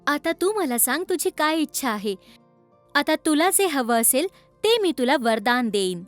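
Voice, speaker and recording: A woman voicing a kind, gentle fairy in a children's cartoon story, explaining things in a measured, instructive way. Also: high voice, very animated, no room sound, soft background music. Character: kind fairy granting a magical boon, gentle.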